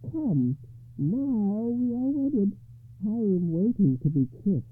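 A man voicing a bold, knowing, monstrous lady under enchantment, speaking in a deliberate, stately way for a told legend. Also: sits high, sing-song, slightly rough, dry recording, pitched above the performer's own voice, heavily acted. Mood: slow, expectant. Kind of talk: storytelling character voice. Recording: muffled phone-like narrow-band sound.

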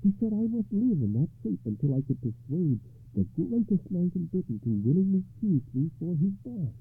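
A man voicing a bold, knowing, monstrous lady under enchantment, speaking in a deliberate, stately way for a told legend. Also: high-pitched, big pitch swings, husky, voice pushed up, full character voice. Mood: bitter, defiant. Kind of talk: storytelling character voice. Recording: muffled phone-like narrow-band sound.

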